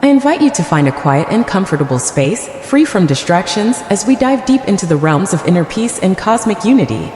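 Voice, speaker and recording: A woman leading a scripted guided meditation in a gentle, soothing, deliberate manner. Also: mid-range voice, big pitch swings, roomy sound, big-room sound, a little hiss or hum.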